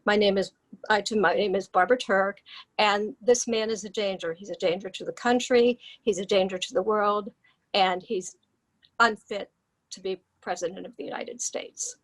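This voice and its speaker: A woman's voice: mid-range voice, steady tone, moderate pace, rough recording. A woman, a clinical psychologist, giving an emphatic video statement that repeats phrases for stress.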